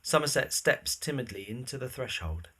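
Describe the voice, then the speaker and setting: A man's voice: medium pitch, expressive, normal speed, bright, forceful tone, breathy edge. A man reading scripted present-tense narration for an audio drama.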